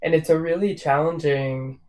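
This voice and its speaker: A man's voice: fairly high pitch, steady tone, normal speed, a little mellow. A man working as a book editor, explaining thoughtfully in a casual conversation on a video call. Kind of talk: casual virtual event conversation.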